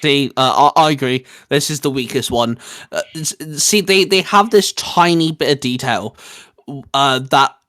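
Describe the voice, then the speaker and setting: A man's voice: mid-range voice, unhurried, a bit bright, no room sound, studio quality. A man hosting a casual review chat, talking like an opinionated, emphatic fan. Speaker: host.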